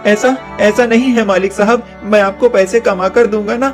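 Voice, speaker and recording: A man performs a poor little orphan boy for a cartoon, earnest and plaintive. The voice is very high, with some music playing behind. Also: fairly even intonation, moderate pace, natural voice. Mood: pleading. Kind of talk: Hindi moral-story cartoon dub.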